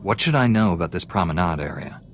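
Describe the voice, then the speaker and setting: An actor playing a calm, resolute knight hero in a video game, measured and self-assured. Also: male voice, low-pitched, a little hiss or hum.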